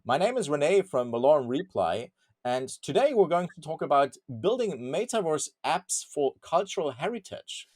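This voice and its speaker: A man hosting a video interview show, opening in a friendly, brisk manner and asking the guest questions. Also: on the high side, very animated, a little mellow. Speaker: host. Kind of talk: upbeat show host interview.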